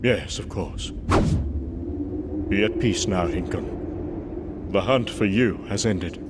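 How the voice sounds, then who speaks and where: A man's voice: low voice, slow pace. A man playing a proud, wrathful Norse thunder god in a comic book audio drama, declaiming grandly, on a noisy recording. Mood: stern, solemn.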